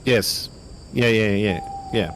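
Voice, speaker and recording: A man with a hesitant, halting way of talking, chatting casually as a game master. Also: on the low side, a bit bright, slight background noise. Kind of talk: casual out-of-character table talk.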